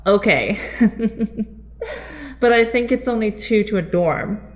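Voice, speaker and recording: A woman playing a sweet, easily flustered young college student in an audio drama, gently playful and hesitant. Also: medium pitch, takes it slowly, natural voice. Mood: fond, flustered.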